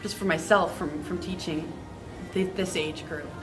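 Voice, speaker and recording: A woman's voice: on the low side, fairly even intonation, unhurried, music in the background. A woman teacher explaining her work informally in an interview. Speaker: art and theater teacher.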